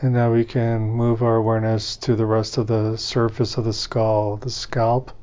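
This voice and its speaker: A man guides a meditation in a mellow, soft-edged voice that stays very even in pitch. He gives gentle instructions in short phrases, leaving space between them. The recording is slightly dull. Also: moderate pace, clear, resonant tone. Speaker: meditation teacher.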